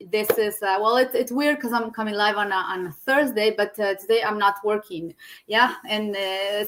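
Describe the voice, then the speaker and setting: A woman hosting a live-stream chat on a video call. She talks in a halting way and often restarts her phrases. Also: a little high, fairly bright tone. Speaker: host.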